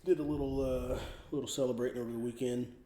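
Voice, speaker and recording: A man's voice: medium pitch, fairly even intonation, quick pace, dark tone. A man co-hosting a casual podcast, easygoing and jokey. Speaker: podcast co-host. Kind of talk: casual chat. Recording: echoey room with faint noise.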